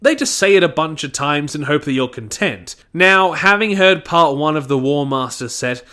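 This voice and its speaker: A man's voice: on the high side, expressive, moderate pace, pushed and bright. A man giving a scripted review and plot commentary. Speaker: audio drama reviewer.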